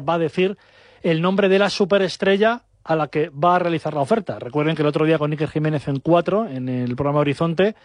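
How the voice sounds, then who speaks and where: A man presents a football talk show from a dry studio with almost no room sound. His voice sits a bit high, and he runs through the news quickly with the odd hesitation. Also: no echo. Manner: rapid fluent presenting with hesitations. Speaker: sports show host.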